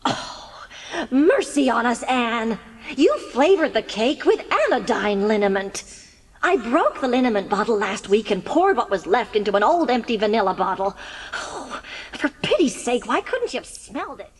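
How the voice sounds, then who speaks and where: A woman playing a brisk, no-nonsense older guardian who is stern and easily exasperated, in a dramatised audiobook. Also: fairly high pitch, very animated, husky, in a large hall.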